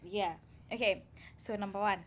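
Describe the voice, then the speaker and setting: A woman's voice: mid-range voice, fairly even intonation, normal speed, fairly bright tone, slight background noise. A young woman co-hosting a student podcast, asking questions in a playful, teasing way and laughing often. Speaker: podcast co-host. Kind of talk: casual interview chat.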